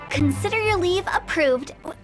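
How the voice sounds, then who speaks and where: A woman's voice: very high-pitched, lively intonation, normal speed, soft background music. A woman playing a cheeky, cheerfully morbid young funeral director in a video game, with a playful, theatrical delivery. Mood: breezy, teasing. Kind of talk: English video game voice acting.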